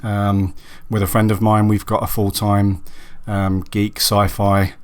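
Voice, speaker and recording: A man hosting a solo podcast who sounds raspy and husky from a cold. He chats casually and rambles, with many ums and restarts. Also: on the low side, monotone, a little slow. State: hoarse from a cold. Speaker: podcast host.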